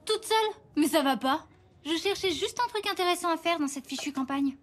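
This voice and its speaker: Sulky homesick young girl, high voice, dramatic, cartoon. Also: animated, fairly quick. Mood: upset. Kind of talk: French cartoon dub acting.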